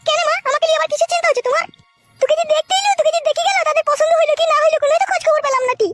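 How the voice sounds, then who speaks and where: A woman's voice: very high-pitched, racing, over loud music. A woman voicing a brash, nagging middle-aged village mother in a bawdy cartoon. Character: shrill, foul-mouthed, scolding middle-aged village mother. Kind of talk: bawdy cartoon comedy acting.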